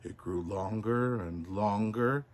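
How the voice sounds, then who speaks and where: A man narrating a children's storybook as Santa, in an expressive, deliberate way. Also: on the low side.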